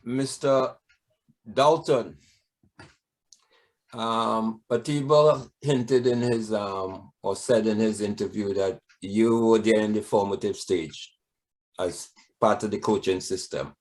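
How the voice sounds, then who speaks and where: Low-voiced male interviewer. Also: steady tone, takes it slowly, poor audio. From Trinidad. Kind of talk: casual interview.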